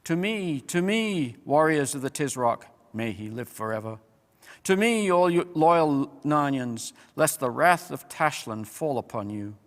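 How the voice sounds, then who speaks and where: A man voicing a forceful, menacing enemy captain, loudly declaiming orders in a storybook reading. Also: a little high, animated, a little slow. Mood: rallying. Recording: long hall-like reverb.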